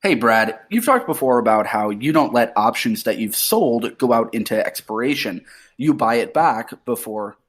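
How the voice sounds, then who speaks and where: A man leaving a voicemail question in a fluent, informal and clearly organised way. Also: mid-range voice, lively intonation, normal speed. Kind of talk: casual, clearly put question.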